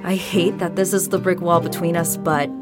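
A woman's voice: on the low side, flat delivery, moderate pace, natural voice. An actress playing a defiant, passionate young band singer, blunt and sweary, in a naturalistic audio drama with music behind her. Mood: firm, defiant. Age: young adult.

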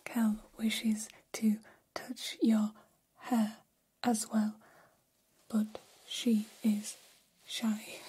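Woman acting curious alien, ASMR roleplay, whispered, mellow, stilted formal phrasing, gentle, very flat intonation. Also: a little high, a little hiss or hum, rough recording. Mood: calm, explanatory.

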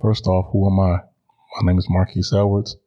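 A man's voice: sits low, monotone, normal speed, mellow, lo-fi sound. A man in his thirties from Chicago with an American accent, hosting a podcast in a conversational solo monologue. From Chicago, United States. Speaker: podcast host.

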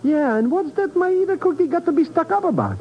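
An actor playing a broke, greedy comic sidekick in a 1950s radio drama, stammering and wheedling. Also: male voice, very high-pitched, big pitch swings, fairly quick, exaggerated voice. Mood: indignant. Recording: muffled 1950s radio recording with music.

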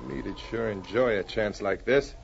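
An actor playing a tough, cocky gang boss of the frontier, folksy and rough, giving orders in a 1940s radio drama on a dull old recording. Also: male voice, lowish voice, unhurried, slight background noise. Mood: menacing, commanding. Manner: folksy rough Western speech, barking orders.

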